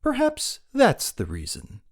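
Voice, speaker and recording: An urbane bachelor gentleman for an audiobook drama, wry and polite. His intonation swings very widely as he teases with indolent amusement. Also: extremely high pitch, huge pitch swings, slow pace, heavily acted. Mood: amused, daring.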